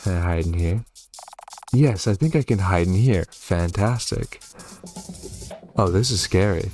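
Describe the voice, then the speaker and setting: A man hosting a horror game playthrough, reading and commenting in an expressive, theatrical way. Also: low voice, measured pace, soft background music. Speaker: gaming video host.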